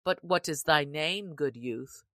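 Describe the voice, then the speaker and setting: A woman's voice: sits low, unhurried, pushed and bright, no room sound, clean studio sound. A woman voicing a greedy, wary middle-aged male sheriff in an audiobook, with suspicious, grumbling, archaic speech. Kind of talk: audiobook character reading.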